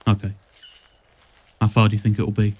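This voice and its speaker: A man's voice: lowish voice, completely flat delivery, normal speed, a little mellow, husky. A man playing an earnest, nervous rookie policeman in an audio drama, deferential and full of questions. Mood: uneasy.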